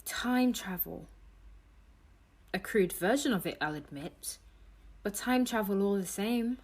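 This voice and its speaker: A woman playing a desperate, defiant young time traveller in an audio drama, speaking emotionally and haltingly. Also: mid-range voice, lively intonation. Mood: subdued, guarded.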